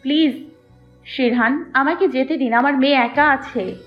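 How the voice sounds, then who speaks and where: A woman's voice: sits high, a little slow. A woman playing a wronged, anguished and defiant young mother in an emotional romantic audio drama. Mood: tearful pleading. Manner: emotional, pleading and accusing delivery. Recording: muffled audio with music behind. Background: background music.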